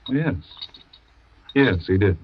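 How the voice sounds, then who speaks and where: An actor playing a husband who pushes a bitter poisoned tonic on his wife, falsely gentle and then bullying. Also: lowish voice, lively intonation, slow, slight background noise. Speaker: radio drama actor. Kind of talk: old-time radio acting. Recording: dry, dull old radio recording.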